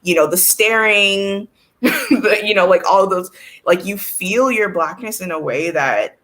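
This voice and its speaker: A woman's voice: mid-range voice, a little slow. A woman from Toronto with a Canadian accent, talking casually and candidly as an interview guest, often saying "like" and acting out what others say. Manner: candid, animated quoting of others, frequent "like". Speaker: guest, artist and curator. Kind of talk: casual conversational storytelling.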